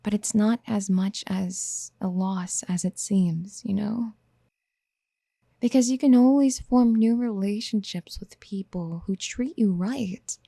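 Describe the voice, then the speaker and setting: A woman playing a possessive, motherly yandere in a soft-spoken ASMR roleplay, gentle and coaxing, often slipping toward a breathy whisper. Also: mid-range voice, slow, no room sound, studio quality, heavily acted. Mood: tender, reassuring. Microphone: close studio mic.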